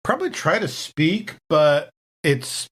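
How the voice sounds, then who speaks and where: A man role-playing haltingly as a player in a tabletop game. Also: fairly high pitch, very animated, a bit bright, slightly rough.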